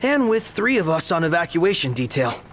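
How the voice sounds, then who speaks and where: An eager young man in a fantasy game. His voice is on the high side and boyish, with animated, informal delivery. There is faint ambience behind him. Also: normal speed, slight background noise. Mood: curious, eager. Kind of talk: video game dialogue.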